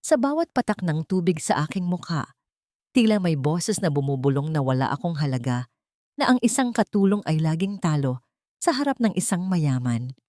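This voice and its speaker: Female audiobook narrator, Filipino accent, low pitch, dramatic scripted storytelling, very dry sound. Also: very animated, moderate pace, dark tone, no echo.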